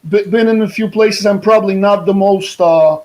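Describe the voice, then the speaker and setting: A man's voice: sits high, fairly even intonation, moderate pace, darkish tone. A Polish man with a mid-Atlantic-tinged accent, an American football coach speaking energetically over a video call, often restarting his words. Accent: Polish with a mid-Atlantic English lilt. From Poland. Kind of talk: guest presentation on a video call.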